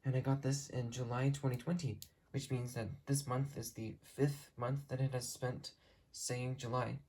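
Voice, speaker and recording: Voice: medium pitch, flat delivery, normal speed, warm tone, low-quality recording. A hobbyist giving a casual, unscripted show-and-tell indoors from behind a handheld camera, rambling and correcting themselves along the way. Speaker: hobbyist video creator. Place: indoors in a room at home. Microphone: handheld camera, speaker behind it.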